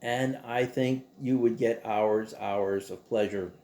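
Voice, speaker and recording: A man's voice: fairly low pitch, fairly even intonation, slow, darkish tone. A man hosting a show in a casual, opinionated monologue, rambling conversationally with emphatic rhetorical asides. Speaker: host of a show about old radio.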